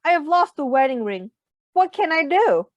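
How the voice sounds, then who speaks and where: A woman's voice: sits high, big pitch swings, moderate pace, dry recording, dull audio. A woman voicing a flustered, apologetic bridegroom who laments his own foolishness in a children's storybook. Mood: distressed. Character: flustered, apologetic bridegroom, a self-blaming man.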